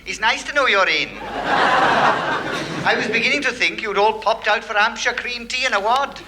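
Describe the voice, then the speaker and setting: An actor playing an insolent, mock-polite naval lookout in a radio sitcom, on a very noisy old recording. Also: male voice, very high voice, normal speed. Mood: cheeky. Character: cheeky, sarcastic naval lookout, leading seaman. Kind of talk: British radio sitcom acting.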